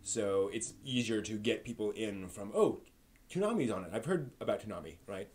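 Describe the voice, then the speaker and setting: A man's voice: on the low side, expressive, moderate pace, darkish tone, slight background noise. A man hosting a live stream, talking through anime reviews and discussion in a conversational way.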